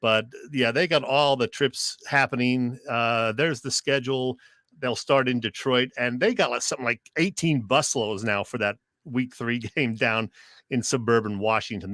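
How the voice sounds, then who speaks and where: A man's voice: mid-range voice, a bit bright, no echo. A man co-hosting a sports radio show, chatting casually and conversationally with a joking streak.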